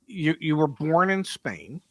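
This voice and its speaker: An older American man hosting a conversational podcast interview in a deliberate, storytelling manner. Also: fairly high pitch, big pitch swings, a bit bright, no echo. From United States. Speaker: podcast host.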